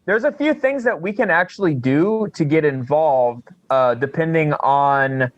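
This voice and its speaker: A man's voice: fairly high pitch, animated, a little slow, no room sound, highs cut off. A man hosting a casual podcast chat in an informal, rambling, jokey manner. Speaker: podcast co-host.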